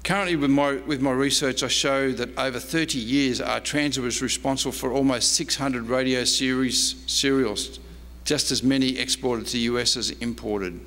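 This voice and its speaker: A man's voice: medium pitch, fairly even intonation, moderate pace, few pauses, a bit bright. A man, a historian giving a prepared lecture read from notes at a convention. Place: convention presentation room. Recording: noisy, reverberant hall with hum.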